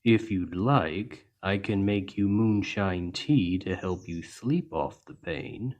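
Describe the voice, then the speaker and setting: Man voicing a deep, slow-talking gentle orc. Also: expressive, muffled recording, exaggerated voice. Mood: calm, caring. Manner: slow, gentle, reassuring, deliberate. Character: towering seven-foot male orc healer, gentle and patient. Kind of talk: ASMR roleplay spoken to the listener.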